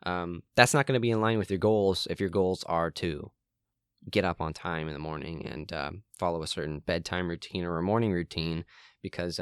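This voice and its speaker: A young man talks through his solo podcast from his room in a very low, slightly hoarse voice that cracks now and then. He rambles casually, stopping for ums and restarting sentences. The sound is dry and close, with no room echo. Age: young adult. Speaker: podcast host.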